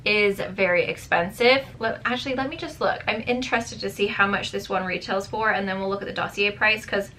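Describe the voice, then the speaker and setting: A woman reviewing beauty products to camera in a chatty, rambling way, full of fillers, her voice strained and going hoarse. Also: medium pitch, fairly even intonation, brisk, few pauses, pushed and bright, slight background noise. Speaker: beauty vlogger.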